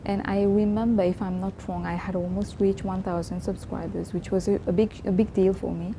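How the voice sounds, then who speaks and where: A woman in her twenties from Mauritius, whose first language is Creole, talking earnestly and reflectively in a personal vlog, pausing and restarting often. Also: mid-range voice, flat delivery, background noise. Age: 20s. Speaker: vlogger. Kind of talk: casual personal vlog talk.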